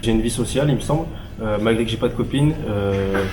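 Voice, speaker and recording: A young male student giving halting interview answers full of fillers. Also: fairly low pitch, heavy background noise. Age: young adult. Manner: hesitant, halting, many fillers. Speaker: prep school student.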